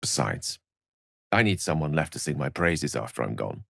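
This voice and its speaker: An eloquent, lively-voiced wizard. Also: male voice, a bass voice, moderate pace, vocal fry, no echo, clean studio sound, full character voice. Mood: regretful, resolute. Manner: eloquent, courtly phrasing with wry asides. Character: learned, wry, courteous male human wizard. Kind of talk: video game character voice lines.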